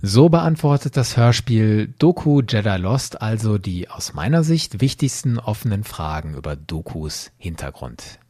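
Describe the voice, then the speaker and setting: A man's voice: fairly low pitch, sing-song, a little slow, hardly any pauses, mellow, dry recording, clean studio sound, faint music behind. A man hosting a podcast, explaining in a didactic way with emphatic phrasing. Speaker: podcast host.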